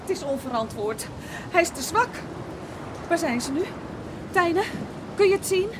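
Anxious mother in a flood, high swooping voice, a bit far off, loud water all round. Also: lively intonation, moderate pace, noisy background. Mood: worried. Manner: fretful, anxious. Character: worried mother fleeing a flood with her family. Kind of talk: Dutch radio play acting.

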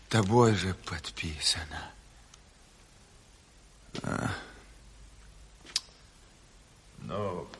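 A man's voice: low voice, long reverb tail, slight background noise, heavily acted. An actor playing a stern, bitter revolutionary chairman in a Soviet radio drama, severe and reproachful. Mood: grave.

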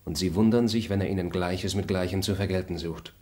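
An actor voicing a composed, fair-minded gentleman traveler in a radio play, speaking in a measured, formal and articulate way. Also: deep voice, steady tone, natural voice. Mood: reflective. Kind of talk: German radio play acting.

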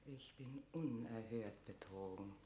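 An actress playing a proud, wavering, tormented queen in a classical verse radio drama, with halting, declamatory delivery. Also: rumbling low, dark tone, roomy sound, a little hiss or hum. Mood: torn, shaken.

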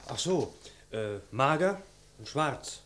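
A dutiful male police subordinate in an old crime radio play. He reports deferentially and is a little slow on the uptake, in a mid-pitched voice with faint noise behind it. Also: lively intonation, fairly bright tone. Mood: eager. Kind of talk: 1950s-80s German crime radio play acting.

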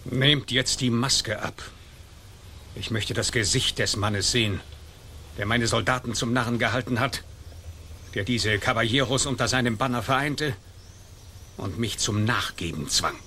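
An actor playing a haughty, easily offended governor in a pompous, theatrical German radio drama style. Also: male voice, mid-range voice, a little slow, long pauses, pushed and bright, slight background noise. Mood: defeated, bitter. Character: haughty, offended male governor, grudgingly yielding.

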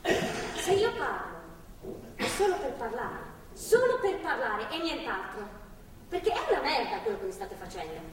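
A woman's voice: a little high, sing-song, normal speed. An actress playing a guarded, bitter young woman held captive in a stage drama, blunt and defiant. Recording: echoing hall, distant mic, clear noise.

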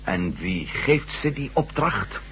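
An actor playing a sceptical, persistent former astronaut turned reporter in a radio drama, polite but challenging. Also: male voice, fairly low pitch, very animated, takes it slowly, a bit bright, slight background noise, full character voice, low-quality recording. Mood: curious, sceptical.

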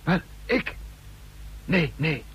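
A man's voice: fairly high pitch, expressive, drawn-out words, fairly bright tone, muffled recording, heavily acted. An actor playing a frightened driver in a radio drama, stammering agitated, defiant protests at his captors. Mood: fearful protest. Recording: noisy old radio drama recording.

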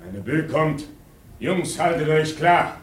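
Menacing proud seaman, slow, animated pitch, challenging, radio drama. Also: a little hiss or hum. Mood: alarmed. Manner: challenging, declamatory. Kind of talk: German radio drama acting.

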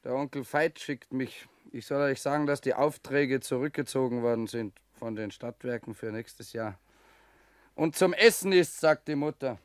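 An actor playing a flippant younger son, a teasing, sardonic student in a 1960s German radio drama. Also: male voice, mid-range voice, moderate pace, dry recording, clean studio sound, natural voice. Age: young adult.

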